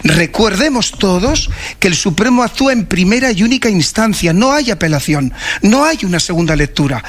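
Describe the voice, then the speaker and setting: A man from Spain with a notably high voice is being interviewed live. He speaks formally and deliberately, with solemn emphasis, and sounds saddened and shaken. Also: expressive. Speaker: prosecutors' association president, interviewee. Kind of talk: live radio phone interview.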